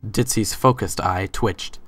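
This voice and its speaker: A man's voice: on the low side, measured pace, a bit bright, faint music behind. A man reading scripted third-person story narration as the narrator of a dramatic reading.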